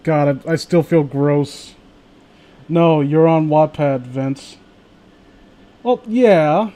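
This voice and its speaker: A man's voice: a little high, very slow, warm tone. A man hosting a livestream, chatting casually with friends and viewers in a sardonic, profane way. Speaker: livestream host.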